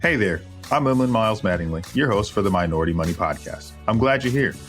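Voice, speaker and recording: Upbeat low-voiced male podcast host. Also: animated, fast talker, soft background music. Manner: upbeat and friendly, loose slangy talk. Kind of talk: casual interview chat.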